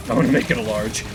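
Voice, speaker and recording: A man doing casual live gaming commentary, chatting with viewers in a rambling, self-deprecating, sweary way. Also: medium pitch. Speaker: video game streamer. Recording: music behind the voice. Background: video game music and sound effects.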